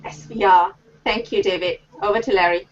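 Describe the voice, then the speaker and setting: A woman hosting a live online panel, moderating briskly and cheerfully. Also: mid-range voice, fairly even intonation, muffled recording. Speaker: host.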